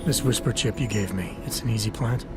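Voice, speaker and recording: A man playing a stoic, guarded augmented agent in a video game, with terse deadpan delivery. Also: fairly low pitch, brisk, over loud music, lightly acted. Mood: calm, curt. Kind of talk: video game cutscene acting.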